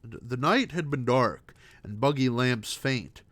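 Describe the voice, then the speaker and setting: A man's voice: mid-range voice, lively intonation, slow pace, a bit bright, no echo. A man reading gothic horror prose aloud as a storyteller, dramatically, now and then stumbling over a word and correcting himself. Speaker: host reading horror stories aloud on a livestream.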